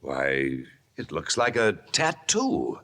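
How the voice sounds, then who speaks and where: A man's voice: sits low, wildly swooping pitch, slow, bright, forceful tone, full character voice. An actor playing a brusque, self-important wealthy father in old-time radio drama, pompous and domineering. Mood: formal, indignant.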